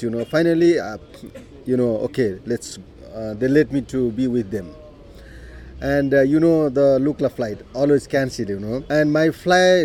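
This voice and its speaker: Nepali man telling his life story, mellow voice. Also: a little high, a little hiss or hum. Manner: unhurried, many "you know"s and throat clearing. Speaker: interviewee. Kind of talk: personal life story told in conversation.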